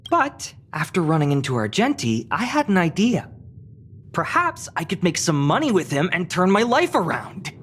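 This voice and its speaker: Sardonic, animated office drudge. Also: male voice, high voice, moderate pace, a little hiss or hum, heavily acted. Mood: bitter, then scheming. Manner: sardonic, theatrical. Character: jaded, self-deprecating, excitable small-time office worker. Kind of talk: video game voice acting.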